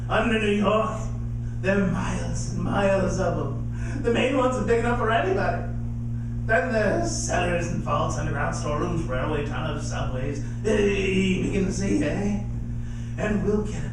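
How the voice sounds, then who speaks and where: A man's voice: high-pitched, background noise. A man acting in live radio theater as a feverish, scheming militia survivor of an alien invasion, ranting in a folksy, emphatic way. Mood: eager, scheming. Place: performing arts center stage. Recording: echoey live theater, distant mic, loud music. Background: music.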